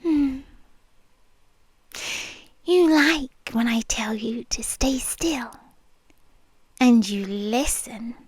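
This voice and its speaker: Brief: British woman as a creepy-sweet obsessive nurse, high voice, very slow whispered delivery, close and dry. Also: no room sound, exaggerated voice. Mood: sweetly possessive. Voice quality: soft, breathy whispering. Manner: slow, teasing, sweetly controlling. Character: obsessive possessive young female nurse. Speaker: ASMR roleplay performer. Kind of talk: F4M ASMR roleplay. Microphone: close mic, speaking into the ear.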